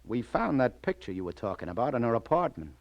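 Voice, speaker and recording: An actor playing a hard-boiled police detective, weary but firm, with terse, slangy delivery in old radio crime drama style. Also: male voice, medium pitch, very animated, fast, creaky, no room sound, lo-fi sound. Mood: businesslike. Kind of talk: 1940s-50s radio crime drama acting.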